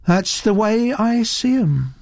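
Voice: mid-range voice, unhurried, no echo, voice pushed up, natural voice. Performer doing a lazily drawling, insolent young woman. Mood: amused, placid. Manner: lazy drawl, indifferent. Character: lazy, insolent young woman artist, amused and indifferent.

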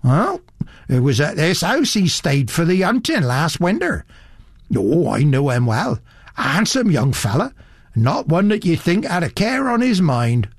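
Man doing a chatty burly innkeeper, folksy and fawning, lively sing-song voice, dry sound. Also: on the high side, unhurried, slightly rough, no echo, voice pushed up, full character voice. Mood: gossipy. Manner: folksy, gossipy country speech. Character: big burly male innkeeper of fifty, chatty and ingratiating.

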